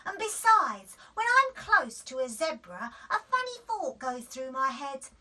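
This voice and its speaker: A woman voicing a gentle, earnest young male lion cub in a storybook, bewildered and pleading. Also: sits high, sing-song, measured pace, far from the mic, full character voice.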